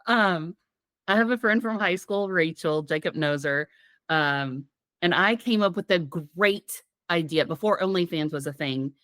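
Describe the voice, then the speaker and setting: A woman with a somewhat low, slightly raspy voice, getting over a sinus infection. She chats in a rambling, playful way and giggles often. The recording is dry and close. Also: animated, a little slow, a bit bright, no echo. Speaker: podcast co-host. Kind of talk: casual comedic podcast chat.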